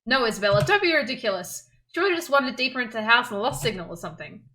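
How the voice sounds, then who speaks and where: A female streamer reads a visual novel aloud. Her voice is on the high side with lively intonation. She slips easily into casual banter. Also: racing. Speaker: game streamer.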